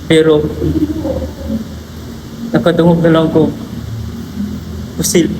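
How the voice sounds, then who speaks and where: A young man with a Filipino accent playing a sombre witness haltingly recounting events in an amateur drama, over background noise. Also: fairly high pitch, monotone, reverberant. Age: young adult. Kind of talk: amateur short film drama, halting monologue. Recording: noisy background.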